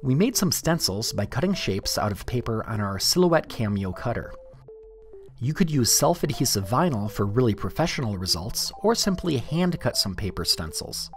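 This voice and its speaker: A man reading a scripted DIY tutorial narration in a clear, step-by-step instructional way. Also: mid-range voice, very animated, normal speed.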